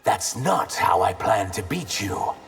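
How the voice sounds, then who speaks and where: A man's voice: lowish voice, sing-song, takes it slowly, heavily acted. A man playing a wry, defiant fantasy warrior in a video game, with a dry, unbowed delivery. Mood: wry defiance. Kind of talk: video game fighter acting. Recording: long hall reverb, music behind.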